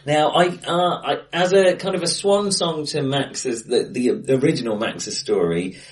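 A man co-hosting a casual film discussion, speaking in a hesitant, halting but enthusiastic way in a bright-sounding room. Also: fairly high pitch. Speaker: podcast co-host. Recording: distant, bright echoey room.

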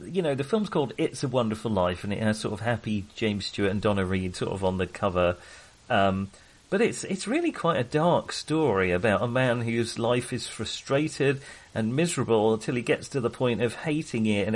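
Man, halting film-podcast chat. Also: mid-range voice, very animated. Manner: hesitant, self-interrupting, thinking aloud. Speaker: podcast co-host discussing films. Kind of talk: casual film discussion.

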